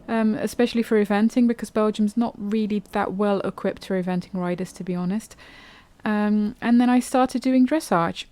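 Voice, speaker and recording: A woman's voice: mid-range voice, dark tone, clean tone, no echo. A woman hosting a podcast, talking casually and at ease, with frequent ums and loose restarts. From England. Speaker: podcast host. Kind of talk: casual solo podcast monologue.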